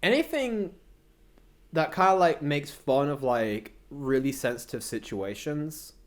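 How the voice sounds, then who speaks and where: A man's voice: on the high side, animated, a little slow. Young man, slangy and rambling. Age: young adult. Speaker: interview guest. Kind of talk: casual chat.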